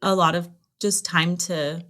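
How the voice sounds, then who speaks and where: A woman's voice: lowish voice, steady tone, a little slow, fairly bright tone. A woman telling personal stories casually and animatedly as a podcast guest. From Los Angeles area, United States. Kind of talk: casual conversational storytelling.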